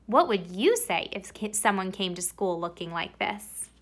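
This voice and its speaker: A woman reading aloud as a storyteller for children, expressive and deliberate, addressing young listeners directly. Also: mid-range voice. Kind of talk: expressive children's picture-book narration.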